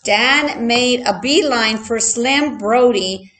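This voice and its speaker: Slow, expressive woman reading a children's story. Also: a little high. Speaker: storyteller, teacher reading aloud.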